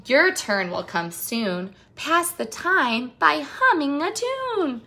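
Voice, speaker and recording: A woman with a bright, fairly high voice hosts a read-aloud lesson for young children. She speaks straight to her young audience in an encouraging way, asking questions and stretching out the storybook phrases expressively. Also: big pitch swings, takes it slowly, a little hiss or hum. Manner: encouraging, addresses young viewers directly with questions. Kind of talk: picture-book read-aloud and talk to children.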